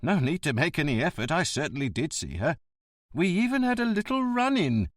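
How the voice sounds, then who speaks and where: A man's voice: a little high, very animated, a little fast, voice pushed up. A man voicing a surly, reed-thin bus driver of about fifty who talks bluntly and sounds aggrieved. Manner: blunt, aggrieved, sticks to the rules.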